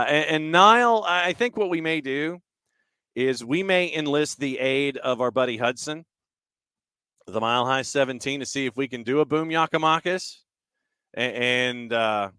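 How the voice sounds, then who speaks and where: Male sports talk host, mid-pitched, resonant, conversational and halting, working through listener comments. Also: a bit bright, clean tone, dry recording. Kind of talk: casual soccer talk, reading and answering listener comments.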